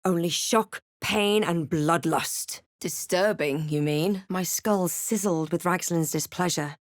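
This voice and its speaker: Brooding female fantasy game adventurer: slightly low, unhurried, dryly witty, theatrical delivery, very dry studio sound. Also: no room sound. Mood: wry, snarky. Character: female adventurer hero, snarky, brooding, spell-casting. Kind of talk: fantasy video game voice lines, narration and barks.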